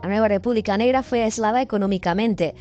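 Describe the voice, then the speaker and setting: A woman's voice: medium pitch, fast, dark tone, highs cut off, faint music behind. Woman narrating a news documentary.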